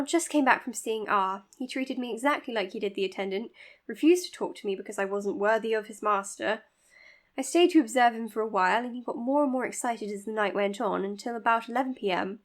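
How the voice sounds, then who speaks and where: An actress as an overworked woman doctor in an audio drama, with a fairly high voice. She is formal and earnest, choosing her words precisely and quick to blame herself. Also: lightly acted. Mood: tired, clinical. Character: overworked, self-blaming woman doctor running an asylum. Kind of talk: naturalistic audio drama, dictated case notes and dialogue.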